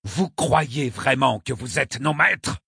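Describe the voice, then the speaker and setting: A man's voice: lowish voice, lively intonation, slow, vocal fry, husky, no echo, clean studio sound, voice pushed up, exaggerated voice. A man voicing a proud, defiant cardinal and grand inquisitor in an audiobook, outraged and challenging. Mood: indignant. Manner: outraged, raised, challenging delivery. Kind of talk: audiobook character acting.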